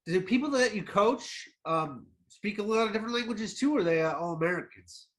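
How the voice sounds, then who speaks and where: A man's voice: high-pitched, animated, a little fast. A man hosting a casual interview, asking laid-back, jokey questions. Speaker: podcast host.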